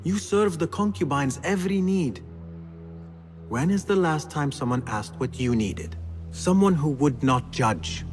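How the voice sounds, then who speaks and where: Charming, lively young rogue. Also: male voice, on the high side, unhurried, faint music behind. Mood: wheedling. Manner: formal phrasing, teasing, persuasive. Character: smooth, charming, wheedling young male thief. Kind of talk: video game cutscene acting.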